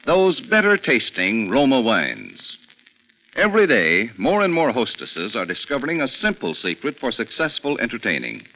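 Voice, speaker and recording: A man's voice: medium pitch, wildly swooping pitch, moderate pace, sharp tone, rough recording. A man reading a scripted sponsor's advertisement as a 1940s radio announcer. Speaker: commercial announcer.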